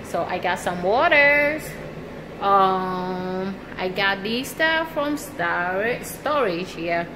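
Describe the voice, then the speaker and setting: A woman's voice: mid-range voice, background noise. A woman vlogger showing her nearly empty apartment on a handheld phone, talking casually and laughing between lines. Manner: slow, relaxed, laughs often between lines. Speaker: vlogger, service member. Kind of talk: casual home tour vlog. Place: small empty apartment, indoors. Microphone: handheld phone camera, speaker off-screen.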